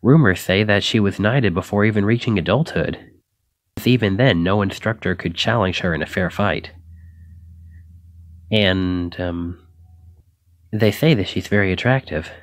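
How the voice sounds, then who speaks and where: A man's voice: low voice, normal speed, no room sound, clean studio sound, lightly acted. A man acting a shy, flustered, self-doubting young knight in an ASMR roleplay, stammering and hesitant as he apologizes. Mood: anxious, self-doubting.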